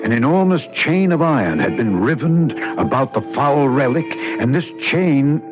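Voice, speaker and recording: An actor playing a thoughtful, uneasy gentleman narrating a mystery in an old radio drama, formal, measured and dramatic. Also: fairly high pitch, lively intonation, close to an everyday voice. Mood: horrified, dramatic. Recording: dull old radio recording with music.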